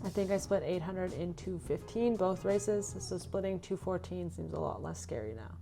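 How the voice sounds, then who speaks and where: A woman's voice: fairly low pitch, expressive, normal speed, creaky, mellow, faint music behind. A young woman from Canada, a runner, chatting casually in a vlog and reading out her own race notes. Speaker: runner recapping her racing season.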